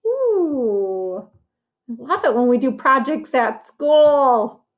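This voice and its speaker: Female teacher for a children's read-aloud: higher-pitched, unhurried, simple instructive wording with encouraging asides. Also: very animated. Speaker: teacher reading a story to children. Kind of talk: read-aloud lesson for young children, with commentary on pictures.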